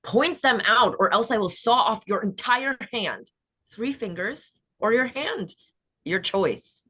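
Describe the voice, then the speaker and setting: A woman playing a vengeful, menacing beauty queen with a husky voice, at a screenplay table read. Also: fairly high pitch, sing-song, slow, pushed and bright, full character voice. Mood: coldly threatening.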